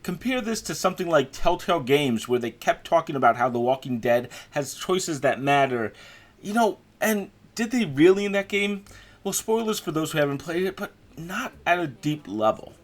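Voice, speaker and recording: Guy doing a game review voiceover, really animated up and down delivery, chatty and opinionated. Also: on the high side, moderate pace. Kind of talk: review voice-over commentary.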